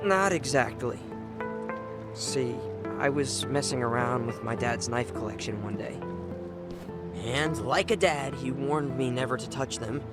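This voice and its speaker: A man's voice: medium pitch, expressive, unhurried, over loud music, lightly acted. An actor playing a rebellious young man with an American accent in a game dub, casual, slangy and defiant. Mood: sheepish, subdued. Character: cocky, rebellious, foul-mouthed young man, troubled son. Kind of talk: video game dub acting.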